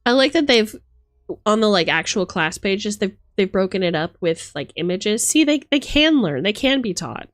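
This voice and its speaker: A woman's voice: mid-range voice, big pitch swings, moderate pace, no echo. A woman doing casual livestream commentary as host, playful and theatrical, mixing gushing exclamations with dry asides. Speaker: streamer hosting a live book look-through.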